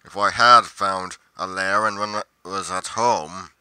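A man playing a brooding, solitary monster investigator in a roleplay monologue, musing deliberately with pauses mid-sentence. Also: sits low, steady tone, sharp tone, smooth, dry recording, heavily acted. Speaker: roleplay performer.

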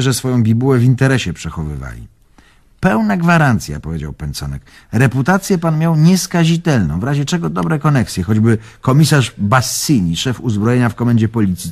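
An actor playing an old, carefree Polish antique collector, telling stories expressively in a radio drama. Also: male voice, medium pitch. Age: older. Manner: expressive, storytelling, old-world phrasing. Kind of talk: radio drama acting, reminiscing monologue.

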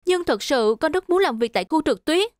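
A woman's voice: high voice, lively intonation, brisk, studio quality. A woman voicing an earnest, determined teenage boy in a cartoon, polite and pleading. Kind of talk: cartoon dubbing. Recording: echoey voice under loud music.

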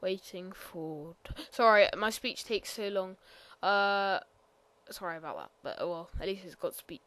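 A woman giving a casual, rambling game tour voiceover, hesitant and full of ums and apologies, sometimes bursting out loud. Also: mid-range voice, lively intonation, measured pace, no room sound. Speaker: gameplay commentator.

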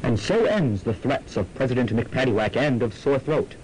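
A man's voice: mid-range voice, expressive, moderate pace, a little mellow, close to an everyday voice, poor audio. A man playing a mock-serious narrator of a campy radio serial, reading teasers and credits. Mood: mock-grave. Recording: amateur student radio, faint background noise.

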